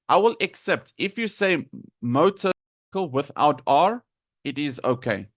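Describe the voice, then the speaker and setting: A South African man teaching English in a live lesson, deliberately repeating and enunciating words for learners. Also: fairly high pitch, fairly bright tone, dry recording. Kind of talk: live lesson explaining pronunciation to students.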